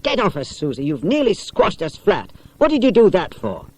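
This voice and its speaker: A man voicing an excitable, easily indignant schoolgirl detective in a storybook, emphatic and full of exclamation. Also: sits high, normal speed, no echo, voice pushed up, heavily acted.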